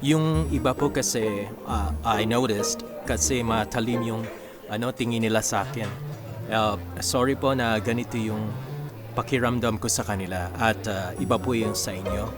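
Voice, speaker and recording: A man's voice: fairly low pitch, moderate pace, lightly acted. An actor playing a humble young male janitor in a Filipino radio drama, polite, hesitant and deferential. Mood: hesitant, apologetic. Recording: music bed under dialogue.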